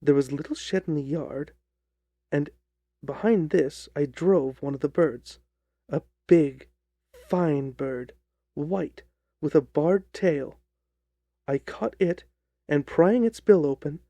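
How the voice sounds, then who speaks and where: Mellow, gravelly male story narrator. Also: a little high, slow, dry recording, studio quality. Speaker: audiobook narrator.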